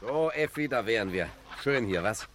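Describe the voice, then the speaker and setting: An actor playing a breezy, chummy gangster in a radio play, hearty in manner. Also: male voice, mid-range voice, animated, moderate pace, background noise, natural voice. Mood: cheerful, breezy. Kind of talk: 1950s German radio play acting.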